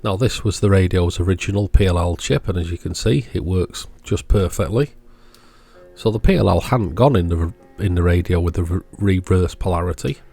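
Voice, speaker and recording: A man's voice: low-pitched, moderate pace, creaky, mellow, breathy edge, soft background music. A man hosting an electronics repair channel, giving casual, matter-of-fact narration of the job as he goes.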